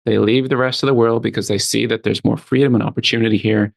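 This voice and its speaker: An Irish man talks as a guest on a conversational show. His voice is husky with plenty of vocal fry, at an average pitch. He makes his points earnestly and likes to repeat a phrase. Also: steady tone, fast. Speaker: interview guest, tech company founder and CEO. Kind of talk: casual conversational interview.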